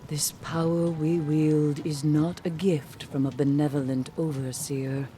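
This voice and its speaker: A woman's voice: sits low, little pitch movement, dark tone, a little hiss or hum. An actress playing a wise, composed female mentor in a video game, speaking in a solemn, cautionary way. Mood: solemn warning. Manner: measured, solemn. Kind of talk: video game narration, acted.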